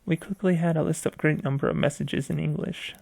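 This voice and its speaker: A man narrates a written piece in a fairly high voice with a gravelly, creaky edge. He reads haltingly, tripping over words and doubling back on phrases. The sound is dry and close. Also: steady tone, darkish tone, no echo. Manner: reads aloud haltingly, stumbling and repeating phrases. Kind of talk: scripted narration of a video game mystery story.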